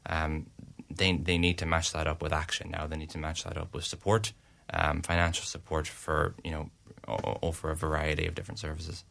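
A young Irish man in his twenties, a student representative answering interview questions in a halting way, with many restarts and fillers. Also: a bass voice, monotone, gravelly, fairly bright tone.

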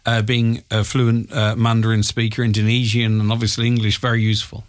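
A man's voice: on the low side, little pitch movement, brisk, smooth. A man interviewing a guest, asking questions with hesitant starts and repeated words.

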